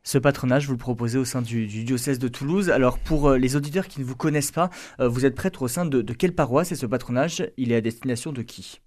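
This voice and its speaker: A man's voice: medium pitch, fast talker, hardly any pauses, a little mellow. A man hosting a radio interview, putting questions to his guest politely and formally.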